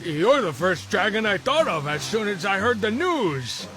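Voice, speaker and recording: A man voicing a small, goofy, scheming creature in an animated film, chatty and wheedling with an excitable manner. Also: high voice, moderate pace, soft background music, heavily acted. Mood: flattering.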